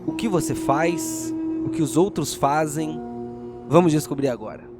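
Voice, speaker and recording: A man with a Brazilian accent hosting and narrating a tabletop RPG audio drama as game master, in a theatrical, deliberate storyteller's manner. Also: a little high. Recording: music playing behind the voice. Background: music.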